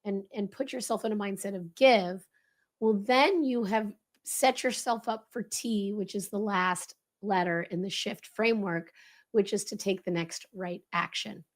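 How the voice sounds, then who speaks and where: A woman with a hoarse, crackly voice, briskly explaining a leadership framework as a podcast guest. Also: mid-range voice. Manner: brisk, animated, coaching tone. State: hoarse, voice lost.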